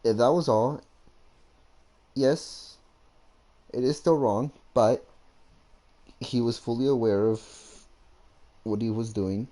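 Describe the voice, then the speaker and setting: A man giving casual gameplay commentary, thinking aloud in a halting way. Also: mid-range voice, mellow. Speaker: gamer.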